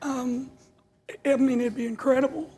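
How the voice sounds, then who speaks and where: A middle-aged woman answering as a patient on a panel, halting and earnest, repeating words as she searches for them. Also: high-pitched, fairly even intonation, mellow, slight background noise.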